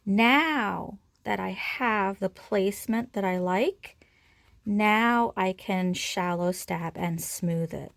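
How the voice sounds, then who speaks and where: A woman's voice: medium pitch, slow, gravelly, dry recording. A woman giving a casual step-by-step craft demonstration, informally talking through each action as she works. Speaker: craft tutorial instructor.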